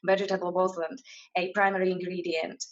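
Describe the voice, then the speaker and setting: A woman's voice: fairly low pitch, level tone, moderate pace, lo-fi sound. A woman from Slovakia with a Slovak accent, a coach answering interview questions thoughtfully with plenty of fillers and restarts. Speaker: guest, mindfulness and career coach.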